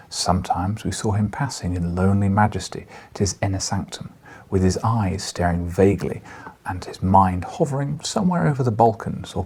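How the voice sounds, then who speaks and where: A man's voice: low-pitched, a little mellow, breathy edge. A man reading a classic novel aloud as scripted literary narration. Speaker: audiobook reader.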